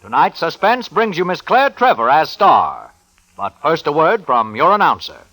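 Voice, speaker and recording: A man introducing a 1940s radio program in a grand, measured, portentous way. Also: a little high, huge pitch swings, bright, forceful tone, dry recording, faint music behind, rough recording. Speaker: program announcer. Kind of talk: dramatic 1940s radio announcing.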